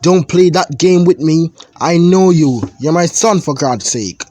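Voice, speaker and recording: A man voicing a stern middle-aged father who scolds his son loudly. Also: medium pitch, lively intonation, fairly quick, no echo, close to an everyday voice. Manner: shouting, scolding.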